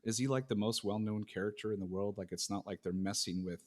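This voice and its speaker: A man's voice: lowish voice, fairly even intonation, fast talker. A man co-hosting a podcast, agreeing and chiming in casually. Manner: agreeable, chuckling backchannels. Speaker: podcast co-host.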